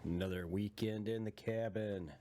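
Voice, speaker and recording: A man's voice: fairly low pitch, steady tone, moderate pace, warm tone, slight background noise. A male podcast host with a congested head cold, chatting casually and laughing often. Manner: laid-back, laughs often. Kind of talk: casual live podcast chat. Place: cabin.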